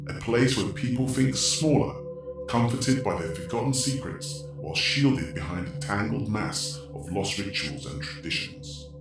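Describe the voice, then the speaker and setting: An actor playing a brooding narrator of a horror audio drama, speaking in an ominous, measured way. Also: male voice, lowish voice, lively intonation. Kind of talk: scripted atmospheric narration. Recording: very echoey, distant, with music. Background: music.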